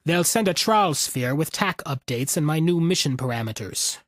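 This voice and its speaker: A man voicing a sardonic, battle-weary veteran with a deliberate, commanding manner in an audiobook. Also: a little high, animated, no room sound, clean studio sound, lightly acted. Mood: weary, matter-of-fact.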